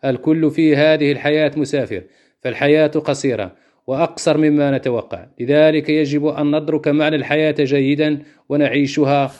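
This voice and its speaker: Quick-talking Moroccan man, Berber native. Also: on the high side, fairly even intonation, a little mellow. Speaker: poetry reader. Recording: dull lo-fi sound.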